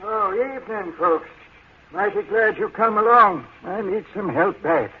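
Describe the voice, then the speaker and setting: Old rustic miner, rural American, high and husky, folksy yet desperate, tinny vintage radio drama. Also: male voice, very animated, a little slow, full character voice. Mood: folksy, pleading. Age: older. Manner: folksy, clipped rustic speech. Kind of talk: 1950s radio drama acting. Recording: vintage radio recording, tinny narrow band.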